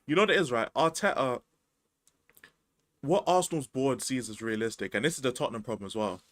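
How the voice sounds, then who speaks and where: A man's voice: medium pitch, brisk, a bit bright, no echo. A man, chatting casually about football as a podcast host, slangy and rambling. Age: 20s.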